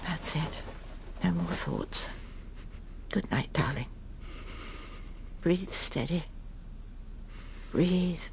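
A woman playing a prim, weary, middle-aged English lady in a radio drama, halting and polite, murmuring to herself with an English accent. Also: sits low, big pitch swings, a little hiss or hum, heavily acted. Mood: weary, self-soothing. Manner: polite, halting, breathy murmured self-talk. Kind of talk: radio drama acting, intimate monologue and dialogue.

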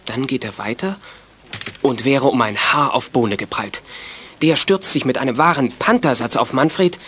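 A man's voice: mid-range voice, normal speed, fairly bright tone, slightly rough. A man narrating a children's audio drama from a script, telling it in a dramatic, suspenseful way.

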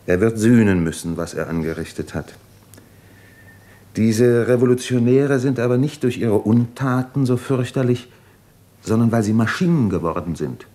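An actor in a 1950s German radio drama playing a tormented, thoughtful Russian doctor in love, speaking in a measured, articulate way. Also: male voice, mid-range voice, mellow, lightly acted. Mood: grave, intense.

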